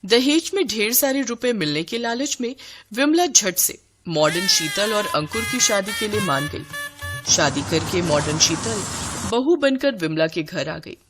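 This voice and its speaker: A woman's voice: mid-range voice, big pitch swings, a little slow, over music. A woman narrator reading a scripted story.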